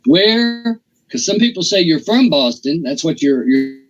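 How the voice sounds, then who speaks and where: Casting: husky-voiced interview host, rambling and halting, dull lo-fi sound. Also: male voice, a little high, sing-song. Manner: rambling, restarts, filler-heavy questions. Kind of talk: casual interview. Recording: dull, lo-fi audio.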